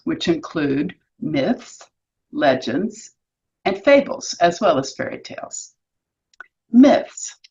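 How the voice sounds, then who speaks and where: A woman's voice: low voice, darkish tone, highs cut off. A woman presenting an educational explainer in an articulate, instructive way with a lightly wry touch. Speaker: presenter of an educational video series, author and workshop leader. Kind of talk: semi-scripted educational explainer.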